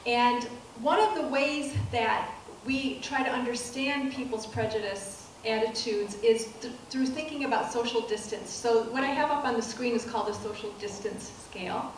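A middle-aged woman giving a public lecture as a professor, speaking softly and with measured pacing, often pausing with ums and restarting phrases. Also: a little high. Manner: soft-spoken, measured, with frequent ums and restarts. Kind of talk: lecture to an audience. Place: dark auditorium stage with projector screen. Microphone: handheld mic, recorded from a far camera. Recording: distant, echoey hall with room noise.

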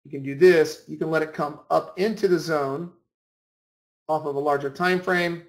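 A man's voice: a little high, fairly even intonation, normal speed. A man giving an informal screen-share lesson as an instructor, often repeating and rephrasing his points. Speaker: trading room instructor. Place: indoor room, voice over screen share. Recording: roomy, echoing voice recording.

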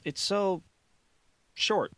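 A man's voice: sits high, very slow, dry recording, pitched above the performer's own voice. Man playing a skeptical young janitor. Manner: doubtful, casual. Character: skeptical young male janitor, college dropout.

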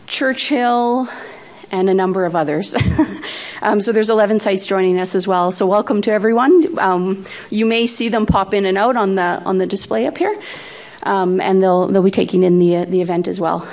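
A woman's voice: medium pitch, moderate pace, darkish tone. A woman opening an event as emcee, speaking casually and hesitantly with many fillers in a conference hall. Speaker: regional director, emcee. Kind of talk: event welcome.

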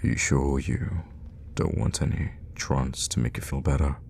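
A man voicing a sadistic, teasing male vampire captor in a hushed, intimate ASMR roleplay, with a deep, gravelly half-whisper. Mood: teasing, soothing, sinister. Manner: slow, hushed, menacingly tender with pet names. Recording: long reverb, faint background noise.

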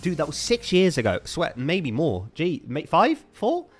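A man streaming a game with friends, husky from being ill, playful and teasing his chat. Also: fairly high pitch, very animated, a little slow, faint music behind. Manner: playful, self-mocking, talks to chat. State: sick, husky voice. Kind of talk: casual live stream chat.

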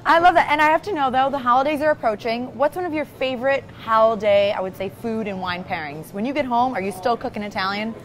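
Upbeat lady tv host, chatty and keen, talks fast, kinda high voice. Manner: chatty, enthusiastic. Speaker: show host and sommelier. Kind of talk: upbeat on-camera interview presenting. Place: inside a supermarket wine section.

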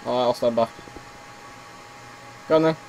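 A man commentating casually on his own gameplay, slightly hoarse as he is losing his voice, muttering reactions as he plays. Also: medium pitch, moderate pace, a little mellow, a little hiss or hum, rough recording. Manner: chatty, mutters reactions while playing. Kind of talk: casual let's-play commentary.

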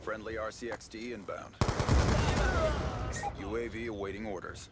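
A man voicing a calm military announcer giving clipped callouts in a game. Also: on the low side, moderate pace, very noisy, close to an everyday voice. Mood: crisp. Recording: loud gunfire over voice.

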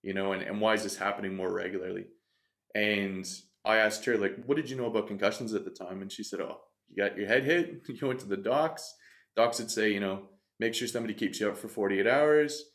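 Low-voiced man telling a personal story. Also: fairly quick, a bit bright. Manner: earnest, reflective, self-interrupting. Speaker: podcast guest, coach. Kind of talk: candid storytelling in conversation. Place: bright studio room, indoors. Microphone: desk mic on stand.

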